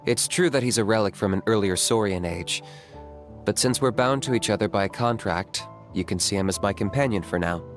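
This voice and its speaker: An actor playing a cool, pragmatic young warrior in a video game, composed and matter-of-fact. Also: male voice, fairly low pitch, fairly quick, soft background music, lightly acted. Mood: calm, explanatory.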